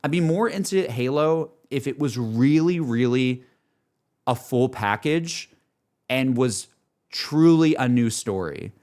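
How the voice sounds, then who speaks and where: A man's voice: medium pitch, animated, takes it slowly, a little mellow. A man streaming games who talks casually and candidly to his chat, rambling as he thinks aloud without a script. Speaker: game streamer talking to chat. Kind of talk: casual livestream chat, unscripted.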